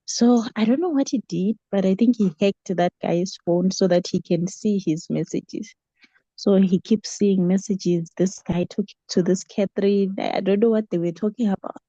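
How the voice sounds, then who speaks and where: A woman's voice: mid-range voice, normal speed, mellow, dry recording. South African woman storyteller, dry muffled sound. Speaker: book club member sharing a story. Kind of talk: casual personal storytelling.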